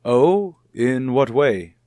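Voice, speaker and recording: Measured, probing male doctor, dry sound. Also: mid-range voice, expressive, mellow, no echo. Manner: measured, deliberate, clinical questioning. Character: calm, probing, formal male child psychologist. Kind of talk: vintage radio soap opera acting.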